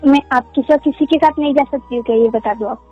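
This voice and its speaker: A woman's voice: sits high, fast, lightly acted. A young woman playing a defensive, aggrieved girlfriend in a casual, insistent phone-call argument. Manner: insistent, questioning. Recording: muffled lo-fi call sound under music.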